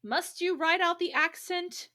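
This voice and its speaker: A woman hosting a casual book chat podcast, expressive and exasperated, swearing freely. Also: very high-pitched, measured pace. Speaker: podcast host. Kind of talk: casual chat about a novel.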